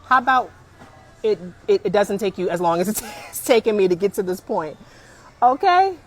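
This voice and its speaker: A woman's voice: medium pitch, moderate pace. Lady in her 40s on a live stream, chatty and emphatic, keeps saying y'all. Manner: animated and emphatic, addresses viewers as "y'all", laughs often. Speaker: career coach on a live stream. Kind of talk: casual live-stream advice chat.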